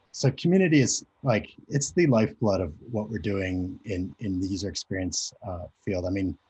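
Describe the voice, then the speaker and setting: Very husky low-voiced man presenting. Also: a little mellow, rough recording. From Japan. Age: 40s. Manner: hesitant, filler-heavy, conversational. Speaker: conference speaker. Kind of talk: presentation over a video call. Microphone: video call mic.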